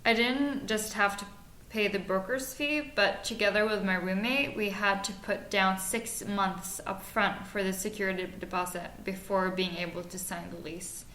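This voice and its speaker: A woman in her twenties from Norway, co-hosting a podcast and giving practical advice in a measured way with frequent fillers. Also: medium pitch, a bit bright. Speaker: podcast co-host. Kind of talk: scripted podcast chat. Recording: echoey room, faint noise.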